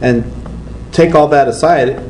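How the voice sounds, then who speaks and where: A man's voice: medium pitch, a little slow. A middle-aged man from Texas, a sports reporter chatting casually on a webcast panel. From Texas, USA. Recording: echoey room, faint background noise.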